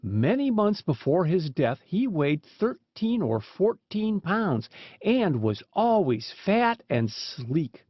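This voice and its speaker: High-pitched man reading a storybook, lively intonation. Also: slow pace, no echo. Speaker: audiobook reader. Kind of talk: scripted narration of children's animal stories. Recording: dull, dry lo-fi recording.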